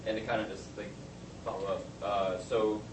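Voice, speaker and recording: A man interviewing a guest, asking hesitant, halting questions full of restarts. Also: fairly low pitch, little pitch movement. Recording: distant, echoey room with noise.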